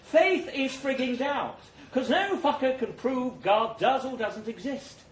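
A man's voice: very high-pitched, measured pace, pitched above the performer's own voice. A man voicing a foul-mouthed, philosophizing male corpse with a sneering, ranting manner. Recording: roomy echo.

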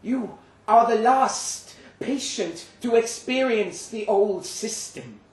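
A man voicing a formal, apologetic monstrous creature in a slow, deliberate way, in a live story reading. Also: sits high, far from the mic, pitched above the performer's own voice. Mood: eerily polite. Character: courteous, apologetic monstrous creature, captor of humans. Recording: roomy live venue recording, faint noise.

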